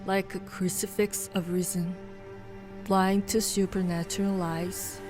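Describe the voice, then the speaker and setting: A woman delivering rhymed verse in a poetic, measured style. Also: fairly low pitch. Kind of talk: slow poetic recitation in verse over music. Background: music.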